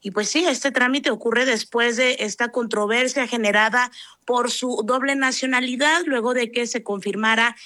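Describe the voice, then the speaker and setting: A woman's voice: fairly high pitch, steady tone, normal speed, sharp tone, no room sound, studio quality. A woman with a Mexican accent giving a news report from the field, conversational with colloquial touches. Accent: Mexican Spanish.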